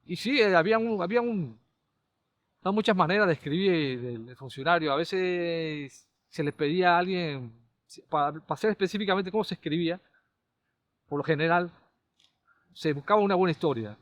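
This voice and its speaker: A middle-aged Panamanian man, a caricaturist and comedy writer, recalling his TV work in a relaxed, rambling way with many restarts. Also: fairly high pitch, moderate pace, pauses often, no room sound. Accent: Panamanian Spanish. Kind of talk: relaxed conversational storytelling.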